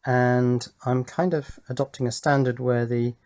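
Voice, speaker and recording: A man's voice: mid-range voice, little pitch movement, a little slow, a little mellow. A middle-aged man giving a casual, chatty running commentary on a hands-on electronics build, thinking aloud and often putting little questions to himself. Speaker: electronics hobbyist presenter.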